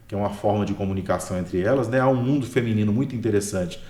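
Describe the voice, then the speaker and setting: A man's voice: fairly low pitch, rapid-fire, mellow. A man with a Brazilian Portuguese accent, a history professor explaining his work in an interview, who tags most sentences with a questioning "né?". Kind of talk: relaxed explanatory talk. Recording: roomy echo.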